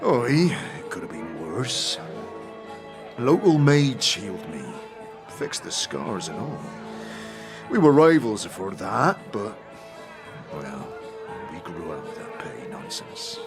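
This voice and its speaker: Casting: big bald market trader, jovial and chuckling, very animated delivery, music underneath. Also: male voice, deep voice, slow pace, long pauses, full character voice. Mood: jovial, blustery. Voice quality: hearty, chuckling. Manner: rustic fantasy street slang, folksy. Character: huge bald burn-scarred blustery jovial male merchant. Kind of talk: acted fantasy audio drama.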